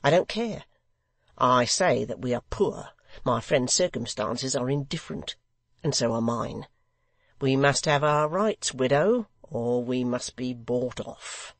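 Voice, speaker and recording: A man's voice: mid-range voice, expressive, measured pace, a bit bright, dry recording. A man voicing a sly, mock-courteous blind extortioner in a theatrical, insistent storybook reading.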